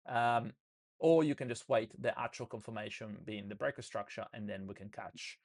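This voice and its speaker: A man with an Australian accent, hoarse and losing his voice, walking viewers through trades in a loose live session full of fillers and restarts. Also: lowish voice, normal speed. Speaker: trading educator. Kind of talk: live trading session walkthrough.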